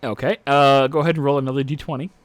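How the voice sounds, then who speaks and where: A man's voice: medium pitch, steady tone, fast, no room sound. A young man narrating as dungeon master, hesitant, with frequent uh and restarts. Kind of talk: narrating a tabletop game.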